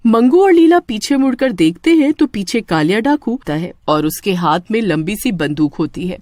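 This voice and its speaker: Woman reading a story, expressive, pitch moves around a lot, dry clean sound. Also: moderate pace, no echo. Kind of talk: scripted expressive story narration.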